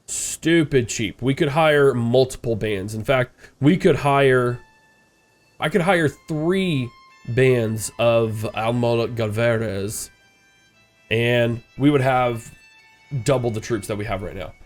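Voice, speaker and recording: A man's voice: medium pitch, slow pace, soft background music. Stuffed-up man narrating his game. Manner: rambling, thinking aloud, frequent uh fillers. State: congested, stuffed-up nose. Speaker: strategy game streamer. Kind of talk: casual let's-play commentary.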